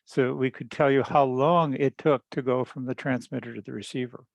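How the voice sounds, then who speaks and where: A man giving an unscripted technical explanation on a group call, halting, repeating words and often clearing his throat. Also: mid-range voice, fairly even intonation, dry recording. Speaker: engineer presenting research at a teleconference.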